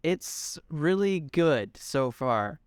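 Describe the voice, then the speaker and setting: A young man co-hosting a casual podcast, speaking in a laid-back, drawn-out way. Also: on the high side, sing-song, clean tone, no room sound, studio quality. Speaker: podcast co-host. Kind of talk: casual chat.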